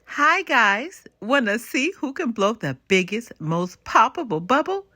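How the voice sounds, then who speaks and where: A chirpy, curious little storybook girl, played by a woman. She sounds bubbly and eager, with a raised, bright pitch and lively swoops. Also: a little slow, no room sound, full character voice. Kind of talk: children's storybook read-aloud.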